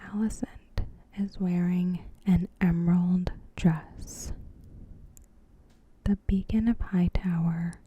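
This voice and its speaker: A woman narrating an ASMR recap in a soft, breathy whisper, gentle and measured. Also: on the low side, steady tone, low-quality recording.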